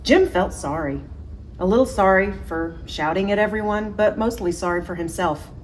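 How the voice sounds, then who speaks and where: A woman reading a picture book aloud to young children as a story time host, in expressive, simply phrased speech. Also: mid-range voice, moderate pace, slight background noise, rough recording. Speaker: library story time reader.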